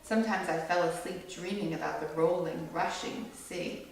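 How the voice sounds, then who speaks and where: A woman's voice: on the low side, fairly even intonation, normal speed, gravelly. Woman reading a story aloud in an echoey room. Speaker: storyteller reading a picture book to children. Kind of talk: expressive read-aloud storytelling. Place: echoey indoor room with children listening. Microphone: far from the reader. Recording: distant mic, very echoey room, faint noise.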